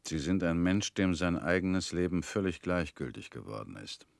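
An actor in a radio play playing a calm former prisoner who questions in a cool, probing, slightly ironic way. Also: male voice, low-pitched, normal speed, vocal fry, dry recording.

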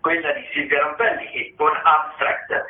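A man's voice: fairly high pitch, steady tone, measured pace. A man hosting a radio show, discursively presenting theatre listings and thinking aloud as he reads. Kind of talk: semi-improvised radio commentary on theatre listings. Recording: tinny phone-like radio sound, roomy echo.

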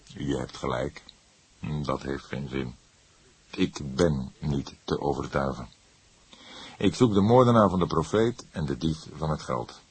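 Man voicing a deep, measured old police detective. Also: a little mellow, full character voice. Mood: calm, firm. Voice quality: deep, husky. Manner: slow, measured, formal, emphatic. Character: old male police detective, calm, stern, sarcastic.

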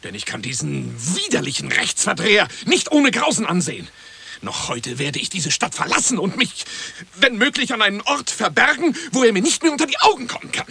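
A spiteful, melodramatic man in a radio farce, very raspy and creaky. The high voice lurches wildly up and down. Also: very animated, fairly quick, bright, forceful tone, dry recording, heavily acted. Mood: disgusted. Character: spiteful, melodramatic man fleeing his loathed rival. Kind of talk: theatrical German radio comedy acting.

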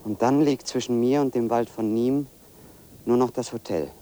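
An actor playing a solitary, brooding man who narrates his own walk in a measured, deliberate way, as in a radio play. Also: medium pitch, fairly even intonation, warm tone, a little hiss or hum, lightly acted. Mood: brooding, observant. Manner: measured, deliberate, literary first-person narration. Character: solitary brooding man walking into a dark forest. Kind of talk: radio play interior monologue.

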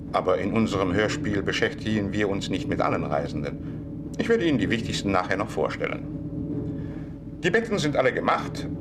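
Male radio drama narrator; somewhat low voice, conversational, with loud train rumble behind. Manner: conversational, addressing listeners. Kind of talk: scripted radio play narration.

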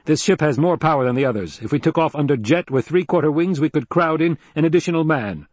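A man's voice: a little high, fast, dry recording, pitched above the performer's own voice, close to an everyday voice. A man voicing an impatient, commanding nobleman and military leader in an audiobook, barking clipped orders. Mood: brisk. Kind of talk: dramatized audiobook dialogue.

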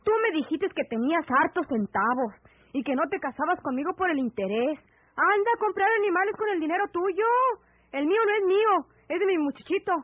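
An actress playing a stubborn, distrustful country wife with a rural northern Mexican accent and firm, scornful retorts, in an old radio serial. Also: female voice, very high-pitched, animated, brisk, dry recording. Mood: stubborn, wary. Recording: dull vintage AM radio drama recording.

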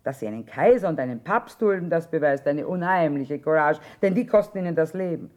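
An actress playing a shrewd, hard-bitten middle-aged war trader in a radio drama, earthy and plain-spoken. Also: female voice, sits low, lively intonation, fairly quick, darkish tone. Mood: bitter, defiant. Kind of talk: stage-style German radio drama acting.

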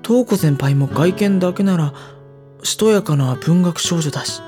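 A woman playing a gentle, diffident high school boy with a boyish voice in an anime audio drama. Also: expressive, normal speed, over music, lightly acted. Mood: pensive narration. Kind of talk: anime audio drama dialogue and inner monologue.

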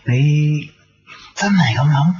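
A man acting a smooth-talking, apologetic young charmer in a Cantonese radio drama, with a Hong Kong accent and a hesitant, coaxing manner. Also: fairly high pitch, slow, darkish tone. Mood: light, casual. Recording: muffled radio broadcast, music behind. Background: background music.

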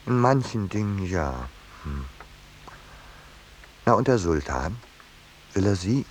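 Gallant painter guy, deep voice, very lively intonation, dry irony, old hissy radio drama. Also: slow, exaggerated voice. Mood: courteous, curious. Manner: dry, ironic, courteous, hesitant. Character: peaceable landscape painter, wry, courteous, gallant narrator. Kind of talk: 1950s German radio play, narration and dialogue. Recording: hissy 1950s radio play recording.

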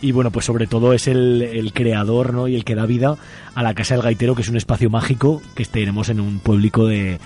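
A man's voice: medium pitch, steady tone, fairly quick, dark tone. A man with a Spanish accent from Aragon hosting a casual radio interview in an informal, colloquial way. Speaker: radio show host.

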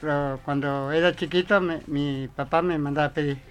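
A middle-aged Argentine man giving informal interview answers in a halting, plain-spoken way. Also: fairly high pitch, fairly even intonation, crowd noise. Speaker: adult literacy student, radio guest. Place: radio studio.

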